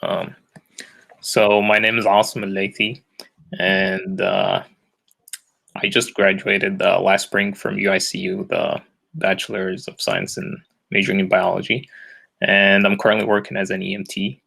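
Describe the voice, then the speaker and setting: A man's voice: deep voice, level tone, a little slow, creaky, sharp tone. A man in his twenties giving a casual, somewhat hesitant self-introduction. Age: 20s. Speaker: EMT and recent biology graduate.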